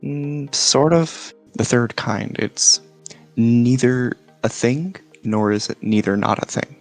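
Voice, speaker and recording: A man talking as a guest in a casual explanatory chat, halting as he searches for his words. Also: mid-range voice, vocal fry, soft background music. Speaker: guest, series creator.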